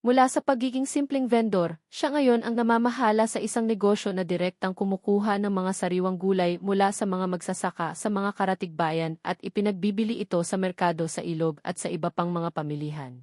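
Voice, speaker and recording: A woman's voice: mid-range voice, steady tone, a little fast, few pauses, a little mellow, no room sound. Filipino woman narrating romantic drama, dry sound. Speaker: storyteller. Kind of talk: scripted dramatic narration of a love story.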